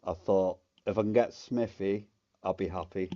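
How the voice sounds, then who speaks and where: A man speaking casually about winning a sim race, relaxed and plain-spoken. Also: low voice, normal speed, lo-fi sound. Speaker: race winner interviewed. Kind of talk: casual post-race interview.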